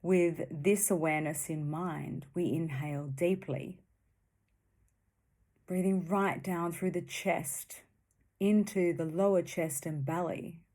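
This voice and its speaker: Very slow, low-pitched woman guiding meditation. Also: steady tone. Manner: soothing, gentle, with long pauses between short phrases. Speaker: meditation guide. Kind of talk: guided meditation.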